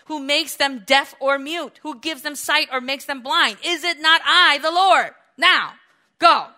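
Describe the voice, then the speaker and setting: A woman's voice: sits high, unhurried, sharp tone, pitched above the performer's own voice, exaggerated voice. A woman preacher voicing God as a commanding male deity who fires off thundering rhetorical questions.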